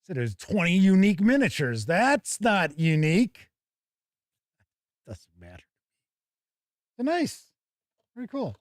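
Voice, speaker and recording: A man's voice: sits high, very animated, slow pace, pauses often, no echo, clean studio sound. A man hosting a tabletop gaming show, giving chatty, casual live commentary as he looks over products and talks with viewers.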